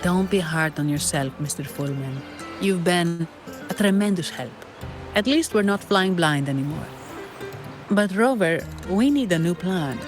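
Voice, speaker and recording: A composed investigator, music behind. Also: female voice, fairly low pitch, lively intonation. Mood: reassuring, explanatory. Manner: measured, courteous, explanatory. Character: composed, practical female investigator and guide. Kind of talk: story video game voice acting.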